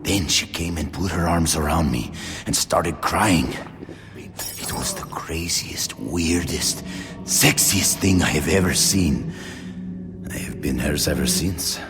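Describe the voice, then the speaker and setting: An actor playing a hot-blooded, brooding demon hunter in a video game, gruff and gravelly with a Mexican Spanish accent. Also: male voice, rumbling low, takes it slowly, in a large hall, over music, full character voice. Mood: brooding, tender. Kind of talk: scripted video game acting.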